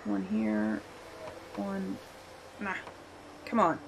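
A woman hosting a game channel, slightly hoarse from a cough, explaining a building project step by step in a friendly, casual voice-over. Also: on the low side, sing-song, very slow, slight background noise, poor audio. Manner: friendly step-by-step explaining, occasional throat clearing. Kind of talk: casual tutorial narration over gameplay.

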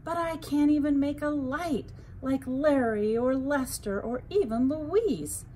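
A woman's voice: high voice, slow pace, mellow, slight background noise, pitched above the performer's own voice. A woman voicing a young male lightning bug in a storybook, small, discouraged but determined.

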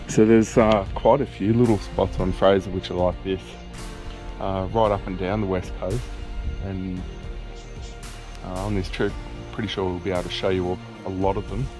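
Low-voiced man, outdoor vlog. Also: little pitch movement, moderate pace, music in the background. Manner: chatty, teasing. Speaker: host. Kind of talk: casual outdoor vlog chat. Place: outdoors on a tidal mudflat by the sea.

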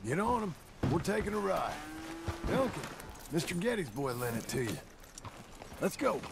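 An actor playing a plain-spoken, gruff but earnest American cowboy father in a video game. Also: fairly high pitch, big pitch swings, moderate pace, background noise. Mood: firm, coaching.

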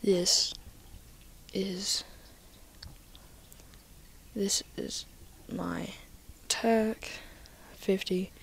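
A woman casually walking through her game inventory in a soft, half-whispered voice, a little nasal from a cold, recorded on a computer mic. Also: mid-range voice, creaky, slight background noise. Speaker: gaming video maker.

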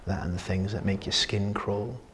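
An actor playing a grim, haunted army veteran telling war stories in a husky, plain-spoken, intimate dramatic monologue. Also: male voice, sits low, fairly even intonation, normal speed, fairly bright tone, a little hiss or hum. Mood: grim, reminiscing.

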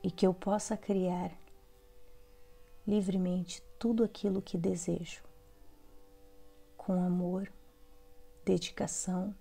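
A Brazilian woman guides a meditation with a lowish, mellow voice. She recites softly in short, prayerful phrases, pausing often and letting the endings turn breathy. Faint music plays behind her. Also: level tone. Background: soft background music.

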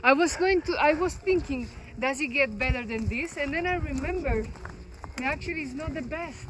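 Higher-voiced woman chatting outdoors. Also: moderate pace, poor audio. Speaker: travel vlogger, hiker. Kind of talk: casual chat while hiking. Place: outdoors on a mountain trail. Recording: outdoor camera audio, music underneath.

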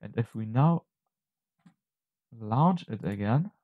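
A man presenting an unscripted software tutorial, explaining step by step in a halting, hesitant way. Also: on the low side, animated, no room sound, narrow, tinny audio.

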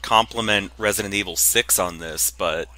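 A man's voice: deep voice, normal speed, bright, forceful tone, rough recording. A man chatting casually as a co-commentator on a video game playthrough. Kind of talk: casual gameplay commentary chat.

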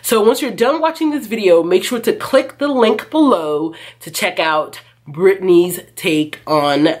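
A young woman hosting her fashion channel, sounding nasal and stuffy from a cold, chatty and bubbly as she talks to the camera in her living room. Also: mid-range voice. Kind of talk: casual lookbook intro to camera.

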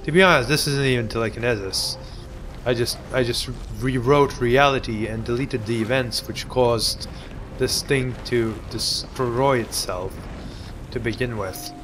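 Young man, bright voice, sarcastic goofy gameplay commentary, game music underneath. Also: medium pitch, measured pace. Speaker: let's play commentator.

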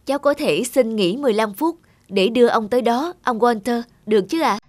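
High-voiced, kindly young waitress. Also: female voice, expressive, brisk, dry recording. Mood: gentle, encouraging. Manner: courteous, gently reassuring. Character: patient, kindly young waitress, soothing and polite. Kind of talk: animated cartoon dubbing. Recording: music behind a close dry voice.

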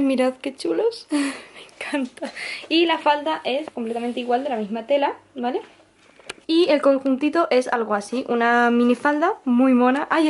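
High-voiced young Castilian Spanish woman vlogging. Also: a little mellow. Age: young adult. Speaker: lifestyle vlogger. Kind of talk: casual chat to camera. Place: small apartment, indoors. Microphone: handheld camera or camera on desk, close range.

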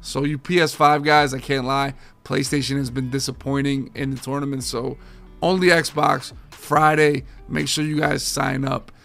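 A man in his thirties, a gaming streamer talking casually and energetically to his viewers, his voice a bit hoarse from overuse. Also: medium pitch, normal speed, soft background music. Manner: energetic, slangy, addresses viewers as boys and guys. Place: home game room with framed pictures.

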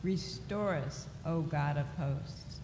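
A middle-aged woman reading scripture aloud at a church lectern in a slow, deliberate way. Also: low-pitched. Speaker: lay reader. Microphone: lectern microphone. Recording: echoey church hall with faint noise.

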